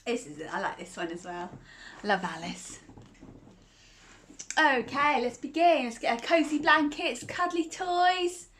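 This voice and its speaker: A woman's voice: sits high, expressive, measured pace, long pauses, fairly bright tone, a little hiss or hum. A woman reading a picture book aloud to children in a cozy, playful way, with chatty asides and laughs between the lines.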